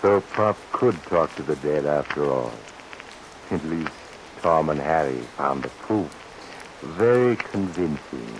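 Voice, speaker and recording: A man's voice: a bass voice, animated, takes it slowly, full character voice. A man acting as the ominous, theatrical narrator of a spooky old radio mystery, on a vintage recording. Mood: sly, macabre. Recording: vintage radio recording, heavy rain noise.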